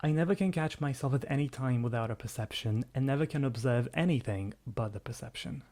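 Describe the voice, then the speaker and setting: A man's voice: medium pitch, a little fast, warm tone. A man reading an old written text aloud in a formal style. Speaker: reader of a quoted passage.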